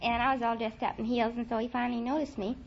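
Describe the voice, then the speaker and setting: A woman's voice: on the high side, level tone, fast talker, creaky. A young woman from New Orleans chatting earnestly and in detail as a contestant on a 1940s radio quiz. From New Orleans, Louisiana. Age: young adult. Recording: old 1940s radio, dull, noisy, audience.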